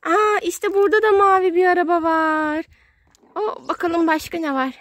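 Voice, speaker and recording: A woman's voice: very high-pitched, steady tone, normal speed, a bit bright, no echo. A woman narrating play for a small child in short, simple, sing-song exclamations, recorded outdoors. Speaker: children's video host playing with a child. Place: outdoors on grass in a garden.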